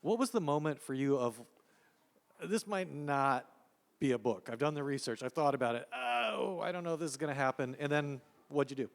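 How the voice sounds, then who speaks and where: A man's voice: a little high, expressive, moderate pace, creaky. A man acting as interviewer, asking questions in a casual conversational way at a live event. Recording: echoey hall with long reverb.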